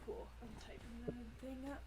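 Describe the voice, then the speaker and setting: A woman's voice: fairly high pitch, little pitch movement, moderate pace, mellow. A woman playing a tabletop game, chatting casually as a player at the table.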